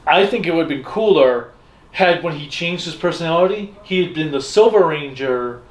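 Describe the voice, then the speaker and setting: A man's voice: on the high side, lively intonation, normal speed. A man co-hosting a fan discussion show with casual recaps and banter. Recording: echoey room.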